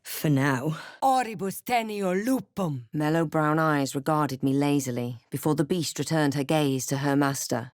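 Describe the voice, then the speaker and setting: A woman voicing a composed, snarky adventurer and spellcaster in a fantasy video game, with a deliberate, dramatic delivery. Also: deep voice, dry recording, studio quality. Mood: measured, guarded, then commanding incantation, then wry, observant. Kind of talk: fantasy game voice lines and scripted narration.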